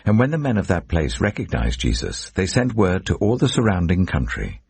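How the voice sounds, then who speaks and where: Very deep, gravelly male scripture narrator. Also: very animated, moderate pace, a little mellow, no echo. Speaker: Bible narrator.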